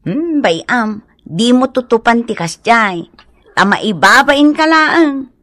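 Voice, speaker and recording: Sensible, chiding Ilocano wife, close-sounding. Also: female voice, a little high, lively intonation, slow pace, a bit bright, no echo. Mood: chiding, reasonable. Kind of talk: radio drama acting.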